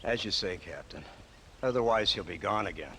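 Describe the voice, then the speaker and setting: Smug, manipulative American lawman: lowish, bright forceful voice, mocking swoops, faint ambience behind. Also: animated, normal speed, slight background noise, heavily acted. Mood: mocking, threatening. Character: smug, manipulative government agent, cynical adult man. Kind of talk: video game cutscene acting.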